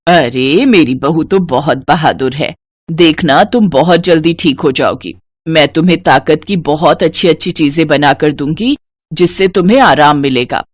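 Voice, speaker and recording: A woman voicing a gentle, caring elderly mother-in-law in a moral story, speaking in a patient, motherly way. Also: sits low, normal speed, no echo, pitched below the performer's own voice. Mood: reassuring. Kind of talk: scripted Hindi moral-story cartoon voice.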